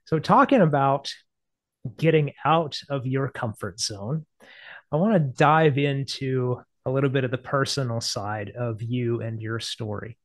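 A man's voice: medium pitch, a little slow, no room sound. A man in his forties hosting a casual interview over a video call, who talks with enthusiastic, affirming energy. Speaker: interviewer host. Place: home office with bookshelves. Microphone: earphone mic on a video call. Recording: dry video call audio.

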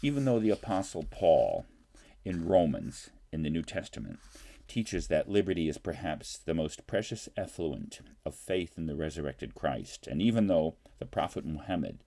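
Older guy, really deep mellow voice, reading a formal letter out loud like a professor, leans hard on his words. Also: big pitch swings, creaky. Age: older, 60s. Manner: formal, emphatic, deliberate phrasing with rhetorical questions. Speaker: college political science professor. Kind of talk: reading an open letter aloud with brief asides. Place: small home study lined with books. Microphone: webcam or computer mic at desk distance.

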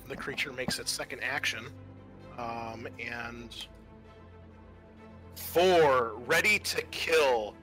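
A man narrating a tabletop roleplaying game as game master, casually, pausing as he goes and reading out rules. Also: fairly high pitch, bright, forceful tone.

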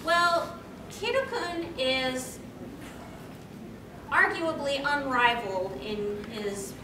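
A woman's voice: high voice, animated, drawn-out words. A young woman hosting a panel, explaining things and answering questions in an articulate, slightly formal way. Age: young adult. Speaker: panel host. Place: convention meeting room, on a stage. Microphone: distant camera microphone. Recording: distant, echoey, noisy camera recording.

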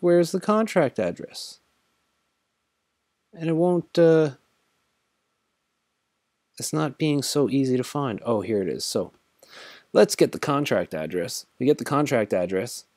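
A man's voice: medium pitch, darkish tone, no room sound, clean studio sound. A tired man casually thinking aloud while walking through a screen-recorded tutorial, rambling with many fillers. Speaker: crypto tutorial host.

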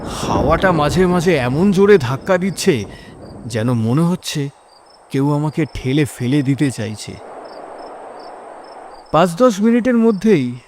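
A man's voice: fairly high pitch, animated, measured pace, long pauses, a little mellow, a little hiss or hum. A man narrating a scripted first-person horror story in a dramatic, suspenseful manner.

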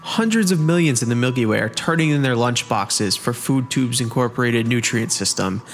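A man playing a smooth-talking, slick host of a futuristic podcast show in a comedy parody. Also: mid-range voice, animated, moderate pace, faint music behind, lightly acted. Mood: upbeat. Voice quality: smooth, slick announcer delivery.

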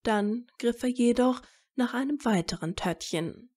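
A woman's voice: on the high side, unhurried, a little mellow. A woman narrating a scripted story as an audiobook reader.

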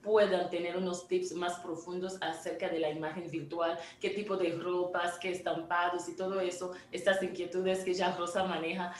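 A woman's voice: lowish voice, little pitch movement, normal speed, few pauses, slight background noise. A woman from Haiti in her forties, an image consultant, explaining earnestly over a video call from her home studio, with small restarts as she talks. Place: home studio room indoors. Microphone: video call from a laptop camera. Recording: echoey distant video-call audio.

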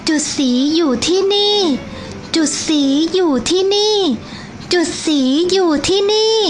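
A woman teacher reads a storybook aloud to children in a high, sweet voice. She takes her time, pacing each phrase gently, with soft music playing underneath. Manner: slow, gentle and clearly paced for children.

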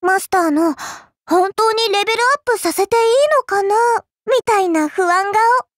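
A woman's voice: extremely high pitch, moderate pace, fairly bright tone, dry recording, studio quality. A woman voicing a mischievous, teasing little girl villainess in a mobile game, coy and polite with playful menace. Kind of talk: Japanese mobile game character voice lines.

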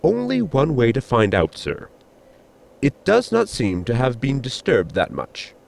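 Creaky, deadpan spaceship crewman played by a man. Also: medium pitch, measured pace, exaggerated voice. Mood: dry. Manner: deadpan, formal, wordy. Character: deferential, drily witty male spaceship crewman. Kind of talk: science fiction comedy audio drama acting.

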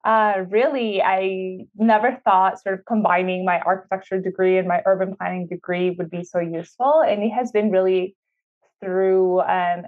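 Chatty woman on a tinny video call. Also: mid-range voice. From Ecuador. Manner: chatty, many fillers, laughs easily. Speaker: city sustainability official, podcast guest. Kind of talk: casual interview answers.

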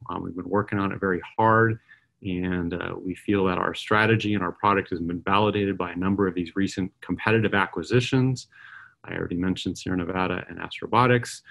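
A middle-aged man giving a conference keynote as an aerospace executive, speaking in a measured way with frequent uh and um pauses. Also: fairly low pitch, gravelly.

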